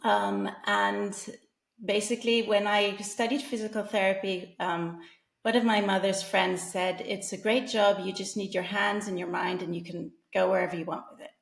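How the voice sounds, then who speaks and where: Woman, forties, from England, interview guest, chatty with fillers, gravelly, echoey room. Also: medium pitch, little pitch movement, normal speed. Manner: chatty, frequent fillers and restarts, laughs often. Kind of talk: casual interview chat.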